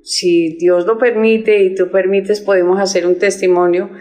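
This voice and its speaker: A woman hosting a religious talk show, speaking in an effusive, admiring way with halting phrasing. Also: medium pitch, flat delivery, a little mellow. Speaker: host.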